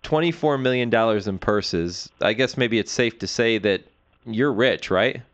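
A man hosts a sports radio interview in a polished announcer's style. His voice sits on the low side. The sound is slightly dulled, as on a broadcast feed. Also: unhurried, dull audio. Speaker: radio show host.

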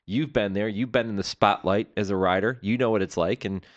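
A man hosts a sports radio interview in a polished announcer's style. His voice sits on the low side. The sound is slightly dulled, as on a broadcast feed. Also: a little fast, muffled recording. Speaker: radio show host.

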